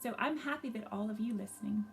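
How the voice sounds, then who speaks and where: A woman reading a story aloud for young children, gently and expressively, pausing to ask them questions. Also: a little high, creaky, a little hiss or hum.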